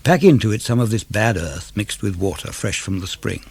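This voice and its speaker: A man with a low, gravelly voice reads verse as if for a radio drama. His delivery is measured and declamatory, each phrase rising or falling. The studio sound is very dry. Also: expressive, a little mellow, no room sound. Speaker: poetry reader.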